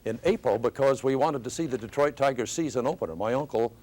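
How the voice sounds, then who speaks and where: A man's voice: mid-range voice, fast talker, a little mellow, husky. An older American man, a veteran radio broadcaster, telling casual stories from his years on the air in a panel chat. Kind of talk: panel reminiscence, casual storytelling.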